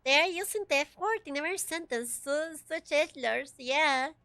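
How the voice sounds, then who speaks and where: A woman streamer playing a game, chatting casually and giggling, with a slightly raspy voice. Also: high voice, animated, moderate pace, sharp tone, no room sound. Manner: giggly, chatty, talks to chat. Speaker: VTuber streamer. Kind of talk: casual gaming commentary.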